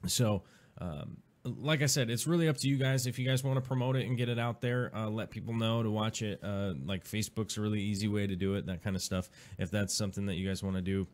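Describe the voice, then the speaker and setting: A man's voice: fairly low pitch, quick pace. A man hosting a casual live stream, rambling with many fillers and restarts. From Pacific Northwest, USA.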